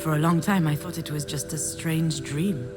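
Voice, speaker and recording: A troubled woman played by an actress narrates her inner thoughts in a video game. Her voice is low, reflective and confiding, full of self-doubt. Music plays clearly beneath the words. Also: normal speed, close to an everyday voice. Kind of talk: scripted video game voice acting, introspective first-person narration.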